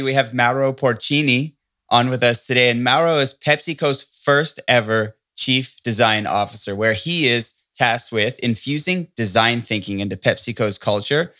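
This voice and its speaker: A man's voice: medium pitch, normal speed, pushed and bright, dry recording, studio quality. A man hosting a podcast, introducing his guest and asking questions in an upbeat, friendly way. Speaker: podcast host. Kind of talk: podcast interview.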